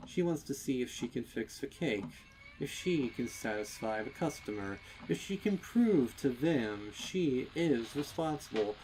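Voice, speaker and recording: A man narrating a dark story with intense, theatrical delivery and deliberate phrasing. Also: mid-range voice, expressive, a little hiss or hum, poor audio. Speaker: fan fiction narrator. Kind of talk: dramatic scripted horror story narration.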